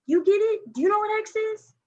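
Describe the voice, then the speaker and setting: Woman acting mouthy defensive 14 yr old skater girl, high scratchy voice, slangy, dull lo-fi audio. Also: expressive, a little fast. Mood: agitated, pleading. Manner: blunt, slangy, sarcastic. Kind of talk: staged play reading. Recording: dull lo-fi recording.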